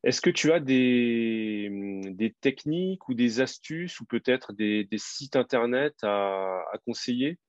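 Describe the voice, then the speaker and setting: French teacher hosting, dry sound. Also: male voice, mid-range voice, animated, slow pace, dry recording, lo-fi sound. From France. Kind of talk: interview host, quiz questions.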